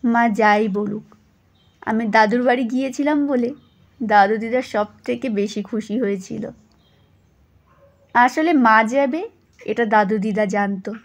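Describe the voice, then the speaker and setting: A woman's voice: fairly high pitch, unhurried, pauses often. A woman reading a first-person story as scripted audio narration.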